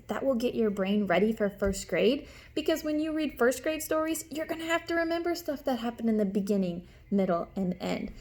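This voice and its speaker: Animated woman teacher reading to children. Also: on the high side. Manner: expressive, explains words and asks questions to children. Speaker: kindergarten teacher reading aloud. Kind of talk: animated storybook read-aloud for young children.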